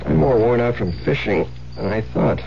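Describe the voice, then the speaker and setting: An actor playing a weary, easygoing husband in an old radio drama, murmuring in a drowsy, drawn-out way. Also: male voice, medium pitch, animated, gravelly. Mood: relaxed, weary. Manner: slurred, drawn-out, sleepy murmuring. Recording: dull, noisy old radio recording.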